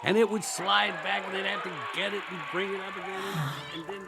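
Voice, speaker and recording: An actor playing a weary, talkative survivor soldier in a radio drama, with a gravelly voice and a wry, folksy, rambling way of speaking. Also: male voice, high voice, level tone, brisk, heavy background noise. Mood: wistful, musing. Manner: folksy, rambling, colloquial monologue delivery.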